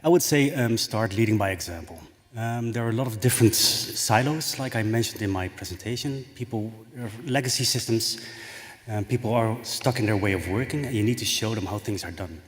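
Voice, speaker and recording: A man's voice: fairly low pitch, fairly quick. A man on a conference panel answering a question with brief, plain advice. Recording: long reverb of a large conference hall.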